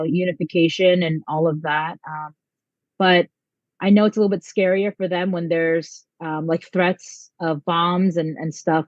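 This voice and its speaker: A woman's voice: deep voice, darkish tone, no room sound. A young American woman, a volleyball coach, answering interview questions in a casual, chatty way with many ums and likes. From United States. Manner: chatty, lots of um and like. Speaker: volleyball head coach, guest. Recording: dull lo-fi dry sound.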